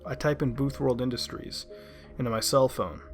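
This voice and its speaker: Man, creepypasta narrator, middle pitch, dramatic conversational storytelling, halting asides, music behind. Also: steady tone. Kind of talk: dramatic first-person horror storytelling, conversational.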